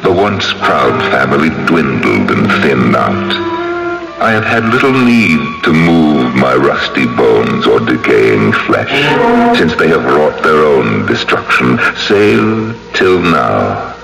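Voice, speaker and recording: Radio villain: vengeful hypnotist from the grave, theatrical and swooping, drawn-out menacing delivery. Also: male voice, a bass voice, wildly swooping pitch, creaky, full character voice, low-quality recording. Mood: grim narration. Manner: slow, theatrical, menacing, savoring words. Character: sinister vengeful male mesmerist, an undead narrator from the grave. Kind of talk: old-time radio drama acting. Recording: old radio broadcast with music underneath.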